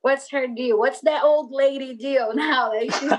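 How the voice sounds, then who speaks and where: A woman's voice: high-pitched, steady tone, a little slow. A woman in her forties from Brazil with a Brazilian Portuguese accent, speaking English as a second language and chatting casually in an interview, full of "like" and "you know". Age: 40s. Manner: rambling, many fillers like "like" and "you know". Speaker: skateboarder and former magazine publisher.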